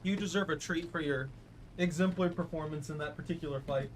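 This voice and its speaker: A young man streaming a tank game, giving playful, teasing commentary with loud exclamations. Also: on the high side, quick pace, slight background noise. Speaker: gaming streamer. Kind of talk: live gameplay commentary.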